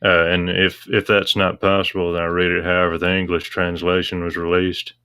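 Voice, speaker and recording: Man, deep voice, monotone, casual explainer, hesitant and measured. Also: clean tone. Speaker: guest.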